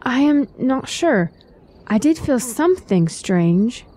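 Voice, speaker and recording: An earnest fantasy queen voiced by a woman in a radio drama, anxious and devoted to her people. Her voice sits a little high but stays soft and mellow, with a worried, pleading way of speaking. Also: very animated, takes it slowly, exaggerated voice.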